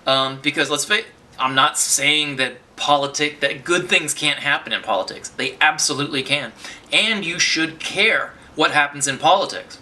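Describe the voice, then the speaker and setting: A man with a piercing, tense tone and a voice pitched a little high talks straight to his viewers. He rambles, circles back and restarts his sentences, and now and then bursts into swearing. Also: moderate pace, sharp tone. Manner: rambling, with many restarts and profane outbursts. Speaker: vlogger. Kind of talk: unscripted political monologue to camera.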